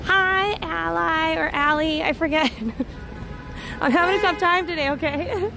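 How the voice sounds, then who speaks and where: A woman's voice: very high voice, measured pace, vocal fry, music in the background. A young woman hosting a live stream on a phone while she walks outdoors, chatting and answering viewer comments, her voice slightly strained. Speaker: livestream host. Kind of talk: casual live stream chat while walking. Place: outdoors on a busy city street. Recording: loud outdoor street noise. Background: street traffic.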